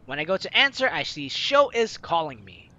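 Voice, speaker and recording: An animated gaming host with a bright voice and a wide, swooping pitch. They read a visual novel aloud and giggle and exclaim between lines. The sound is slightly dull, with game music behind. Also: normal speed. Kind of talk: let's play commentary, reading visual novel lines aloud.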